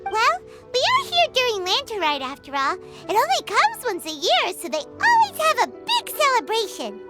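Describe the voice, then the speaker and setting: A tiny, childish fairy sidekick from a video game, squeaky and extremely high, with very lively swings in pitch. She is chirpy and carefree over loud music. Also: moderate pace, full character voice. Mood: breezy, cheerful. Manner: squeaky, childish, refers to self in third person. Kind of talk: video game character acting.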